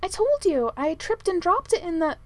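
A woman's voice: very high voice, expressive, measured pace, pitched above the performer's own voice. A woman voicing a flustered, hapless young manservant in a storybook, blurting and hesitant. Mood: nervous, defensive.

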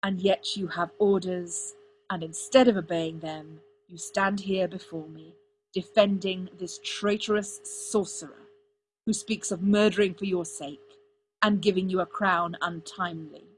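A woman's voice: on the low side, fairly even intonation, measured pace, natural voice, poor audio. Casting: female reader as an angry ageing monarch, hard and accusing, for a fantasy audiobook, slight noise behind. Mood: cold, accusing. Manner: stern, accusing, snapping. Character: hard, suspicious, angry older king and father.

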